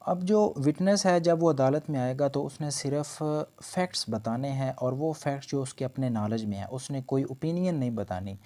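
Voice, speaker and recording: A man speaks in a dark, mellow voice at an average pitch. He lectures on law in an explanatory way, often restating each point in other words. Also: normal speed, hardly any pauses. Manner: explanatory, repeats and rephrases points. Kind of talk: lecture explaining legal points in Urdu mixed with English terms.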